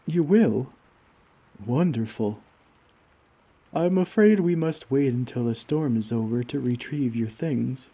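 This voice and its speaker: Man playing a slow, soft-toned gentle giant. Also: medium pitch, expressive, breathy edge, full character voice. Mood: warm, reassuring. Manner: formal, courteous, tender, old-fashioned wording. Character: gentle, kindly giant, caring friend to someone tiny. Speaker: roleplay audio performer. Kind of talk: ASMR comfort roleplay, scripted.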